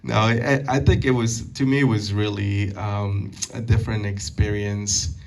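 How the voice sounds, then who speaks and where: A man in his thirties from Peru, answering audience questions at a community talk, halting and full of fillers and restarts. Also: on the low side, little pitch movement, recorded from a distance. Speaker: guest speaker. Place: meeting hall.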